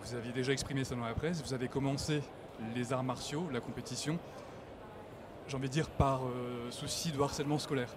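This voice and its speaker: A man's voice: medium pitch, fairly even intonation, fairly quick, noisy background. A man asking questions as an interviewer in a conversational style.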